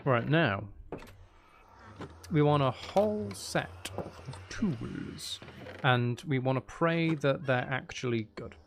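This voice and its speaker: A man with a gravelly voice giving casual, rambling, sweary commentary as he thinks aloud while playing a video game. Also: medium pitch, lively intonation, slow pace, long pauses, slight background noise. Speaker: gaming video host.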